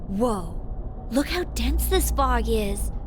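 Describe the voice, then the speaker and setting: A woman's voice: on the high side, lively intonation, takes it slowly, noisy background. A woman voicing a casual, worried teenage girl in a video game, informal and wondering.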